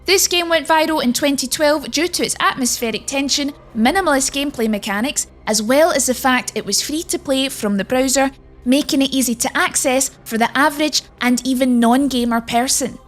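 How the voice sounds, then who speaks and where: A woman's voice: sits high, brisk, soft background music. A woman narrating a scripted video game list as its presenter.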